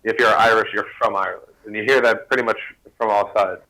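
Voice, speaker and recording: A young man speaks as a guest over a video call, quick and earnest. His voice is low-pitched but bright and forceful, and the words come fast and keep tumbling on. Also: steady tone, low-quality recording. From United States.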